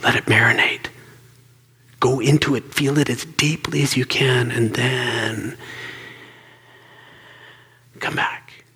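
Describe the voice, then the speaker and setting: A man guiding listeners through a calm reflection in a soft, coaching way. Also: medium pitch, takes it slowly, long pauses, breathy edge. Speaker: meditation guide. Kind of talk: guided reflection.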